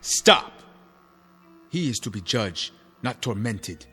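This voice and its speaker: Low-voiced, stern revolutionary comrade. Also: male voice, sing-song, takes it slowly, bright, forceful tone, slightly rough, exaggerated voice. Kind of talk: old-time radio drama acting. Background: faint music.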